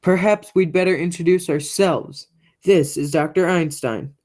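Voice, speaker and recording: A sinister grown man played by a teenage girl in an amateur radio play. The voice sits low and gives orders in a threatening, controlling way, as a cruel brother who has come home. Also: a little slow, darkish tone. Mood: coldly menacing. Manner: commanding, threatening. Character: menacing, vicious grown man, sinister long-lost brother.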